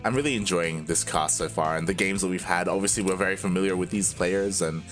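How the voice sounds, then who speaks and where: A man with a light Australian accent casting a video game match live, chatty and quick to laugh, running on little sleep. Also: low voice, faint music behind. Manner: chatty, laughs often, excitable. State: tired from staying up overnight. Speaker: esports caster.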